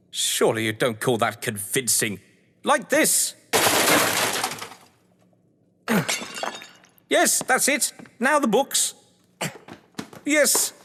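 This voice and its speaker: An actor playing an older, upper-class British crime novelist, scheming and sardonic, in florid, pompous stage-drama style. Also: male voice, high voice, background noise. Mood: gleeful, directing. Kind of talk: stage-play audio drama acting. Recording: echoey hall reverb.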